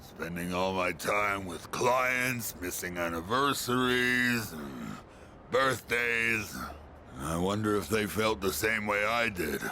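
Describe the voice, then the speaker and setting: A drunken middle-aged ex-businessman for a video game. He is low and forceful, gruff and self-pitying, with a slow, blustering drawl. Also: lively intonation, slight background noise, exaggerated voice. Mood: weary, regretful. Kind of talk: English video game voice acting.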